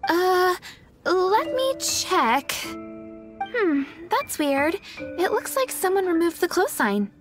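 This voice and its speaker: A woman's voice: extremely high pitch, sing-song, slow, full character voice. A woman playing a polite, mild, apologetic young female shopkeeper in a video game. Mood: puzzled, apologetic. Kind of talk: English anime-style video game voice acting. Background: background music.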